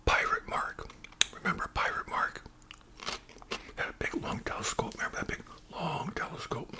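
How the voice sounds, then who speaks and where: A man with a Canadian accent speaks in a breathy whisper, chatting casually and unhurriedly in ASMR style as host. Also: normal speed. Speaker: ASMR video creator and host.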